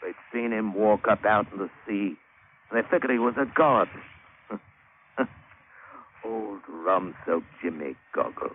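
British sailor on tinny old radio. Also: male voice, fairly low pitch, expressive, slow, long pauses. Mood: amazed, wry. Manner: colloquial, dramatic storytelling. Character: plain-spoken British sailor spinning a wry adventure yarn. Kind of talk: 1950s radio drama acting, first-person narration. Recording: thin, hissy old radio broadcast.

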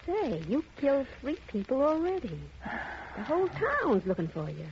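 A woman's voice: high-pitched, wildly swooping pitch, moderate pace, a little mellow, heavily acted. A woman playing a doting gangster's sweetheart in a 1950s radio drama, cooing, fussing and slangy. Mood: cooing, coaxing. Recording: muffled, noisy old radio recording.

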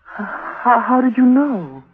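A woman's voice: a little high, big pitch swings, takes it slowly, background noise. An actress playing a tormented, haunted young woman in an anguished 1940s radio mystery style. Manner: anguished, melodramatic. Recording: muffled vintage radio recording with music.

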